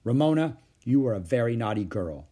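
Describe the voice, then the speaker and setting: Man acting a firm, animated scolding mother. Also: mid-range voice, brisk. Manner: firm, reproving.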